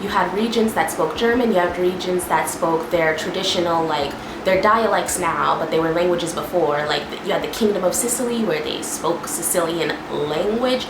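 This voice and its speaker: A woman's voice: on the low side, normal speed, hardly any pauses. A young adult American woman from the Northeast talks casually and emphatically to viewers, explaining things like a vlogger. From northeastern United States. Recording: roomy echo, clearly audible background noise.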